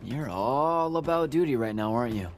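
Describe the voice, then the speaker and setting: An actor playing an irreverent young street thief in a video game, bantering casually and sardonically. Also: male voice, mid-range voice, lively intonation, normal speed, a little hiss or hum, close to an everyday voice. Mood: wry, questioning.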